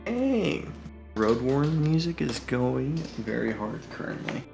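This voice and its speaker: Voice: mid-range voice, big pitch swings, slow pace, creaky, darkish tone, faint music behind. A streamer in casual chat, also reading a visual novel's narration aloud.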